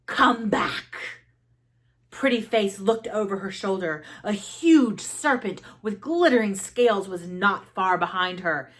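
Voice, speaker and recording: A woman narrates a children's storybook at a slow pace. Her voice sits at a middle pitch, and she reads expressively, pausing for dramatic emphasis. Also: lively intonation. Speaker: storybook reader.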